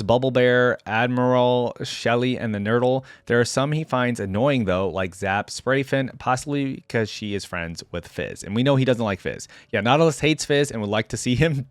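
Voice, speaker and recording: A man's voice: mid-range voice, dry recording. Casual man in a dry, close recording. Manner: rambling, thinking aloud. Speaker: reaction video host. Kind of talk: casual reaction commentary with some reading aloud.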